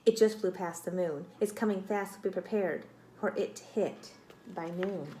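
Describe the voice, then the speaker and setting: An urgent news announcer from a children's storybook, voiced by a woman reading aloud. The delivery is declarative and alarmed, at a medium pitch. Some noise sits in the background. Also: moderate pace, warm tone, reverberant, close to an everyday voice.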